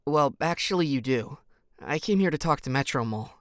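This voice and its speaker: A man's voice: on the high side, animated, fairly quick, no echo, natural voice. Man playing earnest, dry-recorded teenage boy. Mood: earnest, deducing. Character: earnest, guarded teenage boy, sharp-minded orphan. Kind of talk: audio drama acting.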